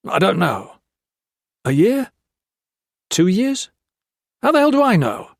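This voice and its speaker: A man voicing a defensive, blustering army colonel who snaps sharply and turns to shouting. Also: on the high side, sing-song, brisk, no room sound, clean studio sound, pitched above the performer's own voice. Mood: panicky.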